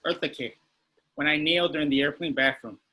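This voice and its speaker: A man's voice: fairly high pitch, fairly quick, sharp tone, slight background noise. A man playing a crude, boastful, oblivious older man in a sitcom table read. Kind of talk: sitcom script read aloud.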